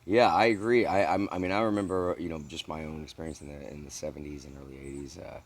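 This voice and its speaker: A middle-aged man from the American South interviewing a guest, speaking thoughtfully with many halting restarts. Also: very deep voice, fairly even intonation, slight background noise. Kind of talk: casual interview.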